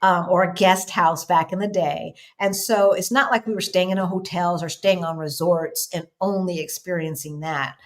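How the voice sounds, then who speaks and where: A woman's voice: on the low side, steady tone, normal speed, hardly any pauses. A middle-aged woman hosting a casual interview, thoughtful and talking straight to her viewers, with many fillers and restarts. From United States. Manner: addresses viewers directly. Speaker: host.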